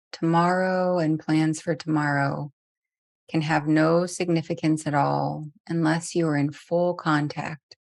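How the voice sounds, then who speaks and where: Low, gently creaky woman guiding meditation. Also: dry recording. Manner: gentle, unhurried with long pauses. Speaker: meditation teacher. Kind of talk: guided meditation.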